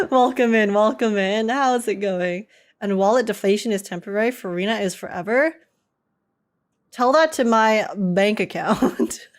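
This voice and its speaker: A young woman livestreaming a game, chatting casually with viewers in a bubbly, giggly, chatty way. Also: fairly high pitch. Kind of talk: casual livestream chat, reading and answering viewer comments. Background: game music.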